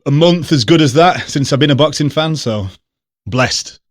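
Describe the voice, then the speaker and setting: Male co-host, slightly high voice, short punchy interjections. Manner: brief interjections, quick to laugh. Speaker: podcast co-host. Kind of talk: casual podcast chat.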